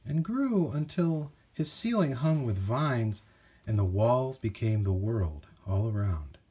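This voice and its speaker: A man with a husky voice narrating a children's storybook from a script. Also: mid-range voice, expressive, takes it slowly, mellow. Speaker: storybook reader.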